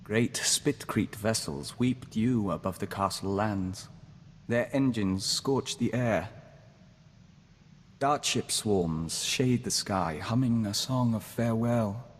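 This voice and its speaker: An actor voicing a calm, formal young man joined to an insect hive mind in a video game, speaking in a measured way as "we". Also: lowish voice, big-room sound. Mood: earnest, dreamy. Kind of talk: scripted video game voice acting.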